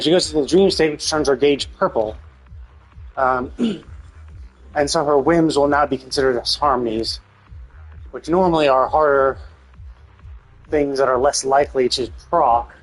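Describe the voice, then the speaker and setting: A man's voice: medium pitch, measured pace, pauses often, faint music behind, poor audio. A man giving casual live game commentary, thinking aloud as he explains things, with some mild swearing. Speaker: game streamer.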